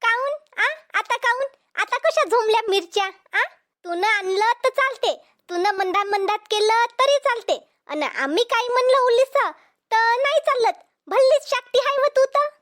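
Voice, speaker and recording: A woman voicing a quarrelsome cartoon village mother-in-law with a Vidarbha Marathi accent, scolding and threatening in a pitched-up voice. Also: measured pace, a bit bright. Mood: indignant. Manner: scolding, repetitive challenges. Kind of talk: cartoon comedy dialogue.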